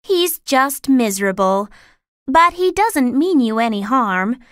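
A man voicing a friendly bargeman's wife in a storybook, calm and reassuring. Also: very high-pitched, slow pace, smooth, no echo, studio quality, voice pushed up, heavily acted.

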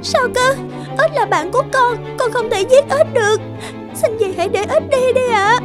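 A woman voicing a gentle, kind young orphan girl in a cartoon fairy tale, plaintive, earnest and polite. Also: extremely high pitch, normal speed, over music. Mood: pleading.

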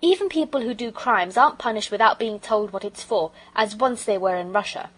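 Woman reading as a forthright boy, husky. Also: mid-range voice, brisk, natural voice. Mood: anxious, protesting. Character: anxious, forthright young English boy.

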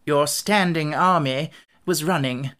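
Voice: mid-range voice, takes it slowly, fairly bright tone. A storybook reader voicing a timid, honest male soldier who talks in a nervous, deferential way. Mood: fearful.

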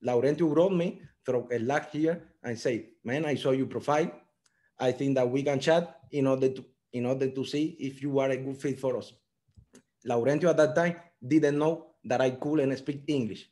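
Young Cuban-accented man, mellow tone, earnest non-native English over a video call. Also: mid-range voice, moderate pace. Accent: Cuban Spanish. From Cuba. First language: Spanish. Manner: earnest storytelling in simple learner English. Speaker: software engineer guest. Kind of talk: online panel talk.

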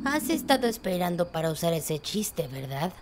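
A woman's voice: lowish voice, big pitch swings, moderate pace, background noise. A woman with a Latin American Spanish accent voicing a young, hesitant but plucky girl bat pony in a cartoon comic fan dub. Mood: dry. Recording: music under the voice.

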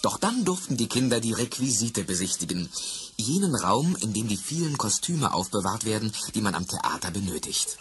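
A man narrating a children's radio play in a measured storytelling manner. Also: on the low side, big pitch swings, slight background noise.

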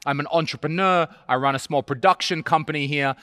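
Aussie guy hosting a live stage event, bit of a higher voice, close dry mic but big hall tail. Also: a little fast, bright, forceful tone, clean tone. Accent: Australian. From Australia. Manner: upbeat, gestures while speaking. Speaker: event host. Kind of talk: presenting a live virtual trade event. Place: large stage studio with hall reverb.

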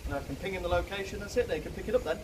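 Bloke vlogging outside, kinda high voice, loads of noise on the camera mic. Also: male voice, rapid-fire. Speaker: vlog host. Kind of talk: casual outdoor vlog chat to camera. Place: outdoors on a riverbank. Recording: noisy outdoor camera audio.

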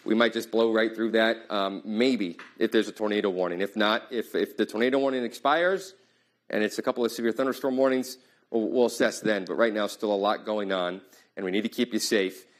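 A young man, a TV meteorologist, giving brisk, emphatic live storm updates in a studio on a lapel mic. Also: on the low side, fairly even intonation. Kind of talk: live severe weather coverage. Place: TV studio in front of a weather wall.

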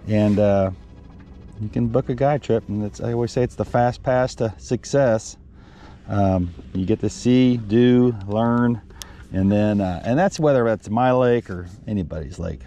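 A man's voice: fairly low pitch, a little slow, slight background noise. Man chatting on a fishing boat. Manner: relaxed, rambling, lots of ums and restarts. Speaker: fishing guide. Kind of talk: casual fishing vlog chat. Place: outdoors on a boat on a lake. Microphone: headset mic.

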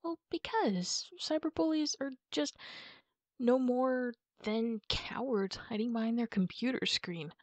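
A woman speaking casually and haltingly in a comforting ASMR roleplay, as a reassuring friend talking to the listener. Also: a little high, darkish tone, dry recording, dull audio. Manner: reassuring, informal, halting with pauses. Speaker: ASMR roleplay performer playing a supportive friend.

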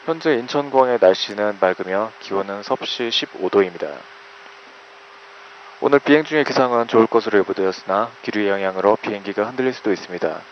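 A man's voice: lowish voice, quick pace. A man speaking as an airline first officer, making a formal in-flight announcement over a cabin PA. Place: aircraft public address system. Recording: muffled cabin PA with noise. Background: cabin noise.

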